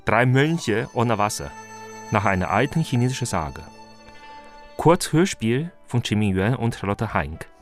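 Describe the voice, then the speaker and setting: A man's voice: medium pitch, big pitch swings, unhurried, soft background music. A man reading the scripted closing credits of a radio play. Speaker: credits announcer.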